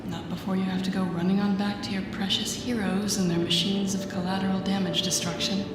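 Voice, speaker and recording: A woman's voice: mid-range voice, lightly acted. A woman playing a wry, teasing telepath villain who mentors a rookie hero, in an intimate, conversational audio roleplay. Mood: teasing, instructive. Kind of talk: intimate second-person audio roleplay acting.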